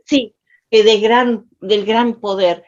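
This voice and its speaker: A woman's voice: mid-range voice, steady tone, slow pace. A woman from Argentina with an Argentine Spanish accent, chatting casually on a video call and searching for her words as she asks about images. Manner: rambling, hesitant, searches for words. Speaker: participant in online Dharma session. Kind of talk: casual conversation, asking questions. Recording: dull lo-fi video call audio.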